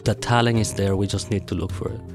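Man, low flat voice, earnest. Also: fast talker, over loud music. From Puerto Rico. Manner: earnest, plain-spoken. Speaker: assistant dean. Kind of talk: interview answer.

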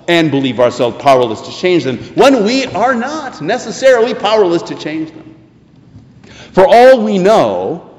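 American preacher in an echoing hall. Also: male voice, a little high, darkish tone. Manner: earnest, deliberate, emphatic phrasing. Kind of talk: sermon. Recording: echoey hall with long reverb.

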